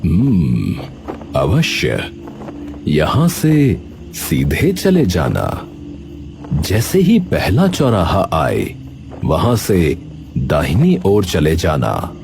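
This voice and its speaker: A man voicing a slow, low-voiced old sadhu. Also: wildly swooping pitch, gravelly, noisy background, heavily acted. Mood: cryptic, reassuring. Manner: slow, solemn, formal wording. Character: calm old male sadhu sage, cryptic and blessing.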